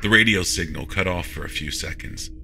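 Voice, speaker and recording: A very low-pitched man narrates a scripted horror story in the first person. His voice is strikingly bright and forceful, with music playing behind him. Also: fairly quick, creaky.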